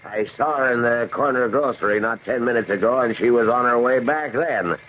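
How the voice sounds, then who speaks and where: A man's voice: mid-range voice, a little fast, lightly acted. A man playing an amiable, dutiful American police sergeant in a 1970s radio drama, plain-spoken and folksy. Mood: concerned, genial. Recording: muffled, hissy 1970s radio recording.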